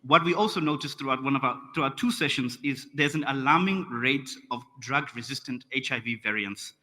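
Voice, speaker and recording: A man's voice: medium pitch, pushed and bright. A young South African man with a South African accent giving a friendly, lightly joking conference report and calling for slides. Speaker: conference track rapporteur. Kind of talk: conference presentation. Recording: reverberant conference hall.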